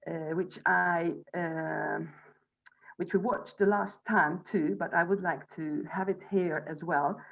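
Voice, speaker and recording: Hungarian accent woman in her 40s, kinda low voice, spiritual coach talking on a video call, halting phrasing, muffled lofi audio. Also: fairly even intonation, vocal fry. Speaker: theta healing instructor and coach presenting an online event. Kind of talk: informal spiritual presentation to an online group. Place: online video call from Budapest. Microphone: video call microphone.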